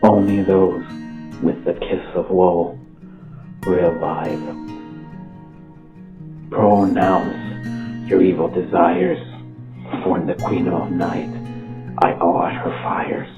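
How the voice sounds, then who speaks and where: A man reciting dramatic gothic spoken word in a brooding, drawn-out manner, sometimes dropping toward a whisper. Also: sits low, little pitch movement. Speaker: author performing own lyric piece. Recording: echoey, muffled, under loud guitar music. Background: plucked guitar music.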